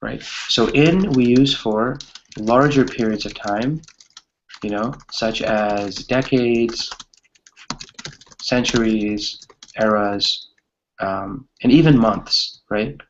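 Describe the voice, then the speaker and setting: A man's voice: lowish voice, slow pace, muffled recording, slight background noise. A man teaching English grammar to a small group, explaining with examples in an encouraging way and often checking in with short tag questions.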